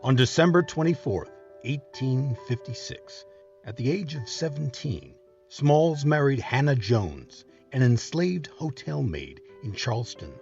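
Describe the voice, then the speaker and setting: Male history narrator, lively swooping delivery, emphatic phrasing, dry dull lo-fi recording, music underneath. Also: medium pitch, a little slow, gravelly. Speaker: narrator and host of a history show. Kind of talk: scripted storytelling narration.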